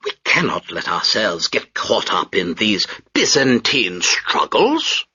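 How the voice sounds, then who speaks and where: A man's voice: on the low side, big pitch swings, takes it slowly, bright, forceful tone, husky, dry recording, highs cut off, exaggerated voice. A man voicing a pompous upper-class marine major who speaks in a haughty, clipped drawl. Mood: lofty.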